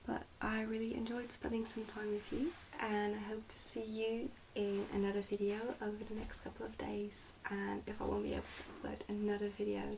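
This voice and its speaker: Hushed, slightly distant woman reading aloud. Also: mid-range voice, creaky, background noise. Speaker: ASMR host reading a book aloud. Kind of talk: ASMR storybook reading, soft and partly whispered.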